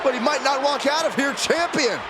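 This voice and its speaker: A man doing play-by-play wrestling commentary for a video game, with excitable, emphatic calls. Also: extremely high pitch, brisk, very noisy. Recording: commentary over loud crowd and music.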